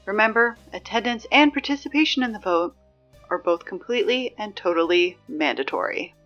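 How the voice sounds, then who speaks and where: A woman playing a chatty, exasperated high school morning announcer in a scripted audio drama, conversational and wry with hesitant asides. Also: expressive, a little fast, fairly bright tone, muffled recording, faint music behind, lightly acted. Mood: brisk announcing.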